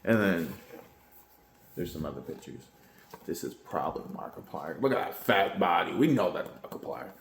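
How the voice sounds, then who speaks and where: A man with a very low voice reads a book aloud at a steady pace. He sometimes trips over a word and backs up to fix it. The sound bounces around a bare room. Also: moderate pace, creaky, slight background noise. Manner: steady read-aloud, stumbling and correcting himself mid-line. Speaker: YouTuber reading a book aloud. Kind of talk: reading a book aloud, then casual commentary. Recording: echoey room.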